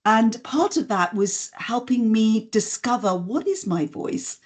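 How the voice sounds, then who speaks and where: A middle-aged woman from Singapore, a voice and communications coach, telling her story as a guest in a podcast interview in a measured, articulate way. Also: fairly high pitch, expressive, a little mellow.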